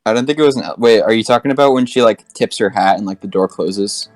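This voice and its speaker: A man with a low voice talks fast in casual podcast banter. He sounds like a fan in an informal group discussion, with music faintly underneath. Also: a little mellow. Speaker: podcast panelist.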